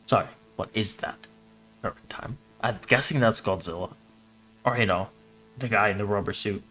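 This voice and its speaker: A man's voice: on the low side, normal speed, bright, forceful tone, rough recording. A man doing a casual livestream reaction, reading captions aloud and commenting in a joking, irreverent, sweary way. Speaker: streamer reacting to horror videos.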